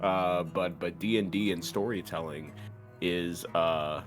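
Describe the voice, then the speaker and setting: A young man introducing himself before a tabletop session, with a somewhat low voice. He jokes around loosely and scatters uhs through his talk. Faint music sits underneath. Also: steady tone, unhurried. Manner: jokey, bursts of laughter, many uhs. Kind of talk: casual self-introduction.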